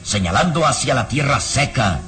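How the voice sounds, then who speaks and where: A male narrator telling a radio serial in steady, vivid phrases at a middle pitch. Strong music runs beneath his voice throughout. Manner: measured, vivid storytelling. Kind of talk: scripted radio drama narration.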